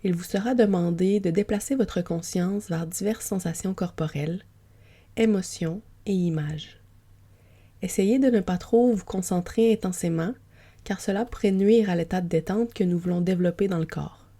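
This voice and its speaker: Female yoga nidra guide, very soft dark tone with a husky breathiness, soothing, slow-moving guided relaxation read. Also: on the low side. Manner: gentle, soothing, unhurried. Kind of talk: guided sleep relaxation, meditation narration.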